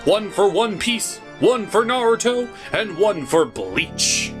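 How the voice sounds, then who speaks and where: A man hosting a review show, delivering scripted comedic narration in a theatrical way with dramatic pauses. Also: high voice, very animated, over music. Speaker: host of a cartoon and anime review show.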